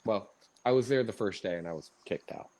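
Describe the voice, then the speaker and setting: A man's voice: fairly low pitch, brisk, darkish tone. A man chatting casually as a show host, emphatic and mock-indignant about food. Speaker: live chat host. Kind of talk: casual banter.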